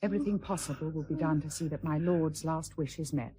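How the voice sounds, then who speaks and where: A woman's voice: low-pitched, a little fast, warm tone, breathy edge. A woman playing a dignified, dutiful titled wife in a radio drama, formal and restrained. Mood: formal, composed.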